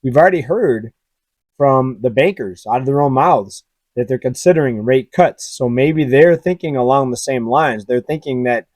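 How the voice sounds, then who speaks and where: Hoarse guy w a mellow raspy voice chatting about charts, dry close sound. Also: medium pitch, moderate pace, no echo. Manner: conversational, asks viewers directly, many fillers. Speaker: finance YouTuber. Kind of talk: casual commentary over charts.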